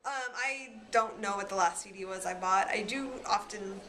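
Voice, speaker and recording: A woman's voice: medium pitch, moderate pace, pushed and bright, recorded from a distance, slight background noise, low-quality recording. A woman teacher answering interview questions casually and hesitantly with ums.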